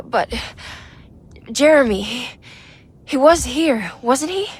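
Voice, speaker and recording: An actress playing a distressed young gentlewoman, frightened and grieving, with halting emotional delivery in a video game drama. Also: high voice, expressive, breathy edge, heavily acted. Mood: shaken, defensive.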